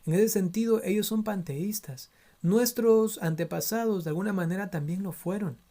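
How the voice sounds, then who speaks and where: A priest from Ecuador teaches theology in an earnest, admonishing way, his Ecuadorian Spanish accent clear throughout. His voice is mellow and warm, and he keeps checking in with his listeners as he explains. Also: high voice, normal speed. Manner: earnest, admonishing, frequent tag questions. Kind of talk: theology lecture.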